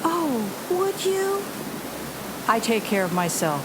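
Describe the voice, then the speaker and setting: Defiant, independent wartime heroine, drawn-out swooping delivery, heavy noise behind. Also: female voice, a little high, big pitch swings. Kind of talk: audio drama acting. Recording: very loud rushing noise behind.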